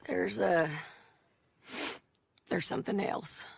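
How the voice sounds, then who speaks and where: A tough frontier bounty hunter who talks bluntly and plainly. Her voice is gravelly, in a middle range for a woman. She states things flatly and matter-of-factly. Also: mid-range voice, slow pace, dry recording, exaggerated voice. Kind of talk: video game cutscene acting.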